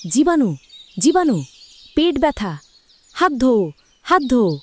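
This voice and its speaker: A woman's voice: high voice, big pitch swings, takes it slowly, exaggerated voice. A woman voicing a chattering pet bird in a children's cartoon, chanting short repeated phrases. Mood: insistent chanting.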